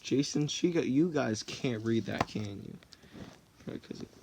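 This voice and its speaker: A man's voice: medium pitch, moderate pace, gravelly, noisy background. A teenage boy rambling through a story in a casual, jokey way, often stopping to correct himself. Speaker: vlogger.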